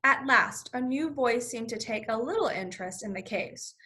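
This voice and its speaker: A woman teacher leads a read-along for young children. Her voice is fairly high, bright and very lively, and she explains words plainly as she goes. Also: normal speed. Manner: explanatory, checking understanding with "okay". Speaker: first grade teacher.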